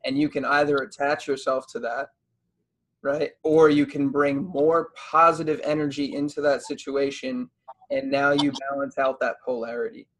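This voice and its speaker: A man's voice: medium pitch, flat delivery, normal speed, rough recording. Young American man, casual podcast host. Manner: slangy, bro-like informal phrasing. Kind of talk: casual conversational interviewing.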